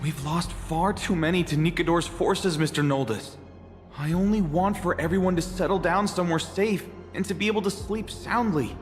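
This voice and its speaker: An actor playing a humble, weary refugee priest in a video game, speaking politely and earnestly. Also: male voice, high voice, moderate pace, close to an everyday voice. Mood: pleading. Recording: long reverb, faint music behind.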